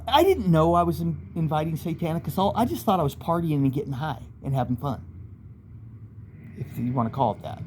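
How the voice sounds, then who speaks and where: American man rambling candidly, noisy background. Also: fairly high pitch. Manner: rambling, candid, street-slang speech. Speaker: storyteller, channel host. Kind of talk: casual first-person storytelling monologue.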